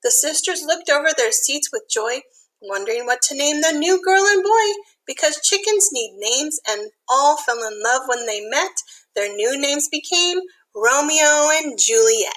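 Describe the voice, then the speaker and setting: A woman reading a picture book aloud to young children, expressive, with simple explanatory asides. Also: high voice, a little slow, rough recording.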